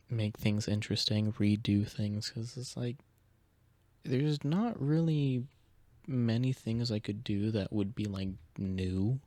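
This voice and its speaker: A soft-spoken man with a low, deep voice, chatting casually as an ASMR creator, drifting between quiet talk and whispers into a sensitive close microphone. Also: no room sound. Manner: relaxed, rambling, slips into whispers.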